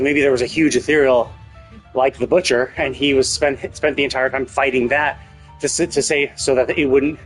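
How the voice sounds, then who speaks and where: A man's voice: mid-range voice, slightly rough. A man giving casual, speculative live game commentary as a streamer, often checking himself with "right?". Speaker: game livestreamer. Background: game music.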